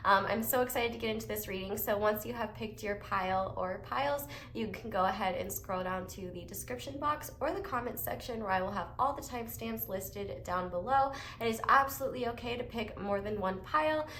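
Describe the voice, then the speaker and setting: A woman's voice: mid-range voice, fairly quick, hardly any pauses, fairly bright tone, slight background noise. Chatty young woman getting over a cold. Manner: chatty and upbeat, many fillers. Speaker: tarot reader. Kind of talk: casual pick-a-card tarot reading. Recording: echoey room with faint ambience.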